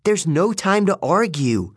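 Storybook teenage demigod girl, commanding and urgent, raised pitch, animated delivery, performed by a male narrator. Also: measured pace, slightly rough, dry recording, studio quality, full character voice.

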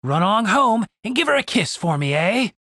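A man's voice: high-pitched, big pitch swings, pushed and bright, breathy edge, dry recording, studio quality, voice pushed up, full character voice. Man doing a crude, taunting half-elf prisoner. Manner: slow, taunting drawl.

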